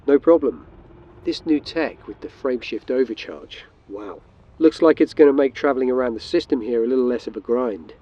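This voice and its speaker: A man's voice: mid-range voice, moderate pace, muffled recording. A man hosting a game demo, delivering scripted narration and role-play commands as a spaceship commander. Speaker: video host and game commander.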